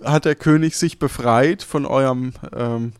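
A man's voice: mid-range voice, measured pace, clear, resonant tone, dry recording. Man in a dry, casual podcast chat. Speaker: podcast host recapping an improvised game. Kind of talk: casual conversational recap.

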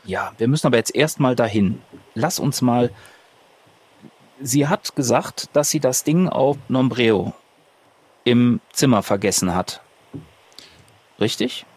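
A man's voice: mid-range voice, expressive, unhurried, pauses often, darkish tone, husky. A man casually improvising as a player in a tabletop roleplaying game.

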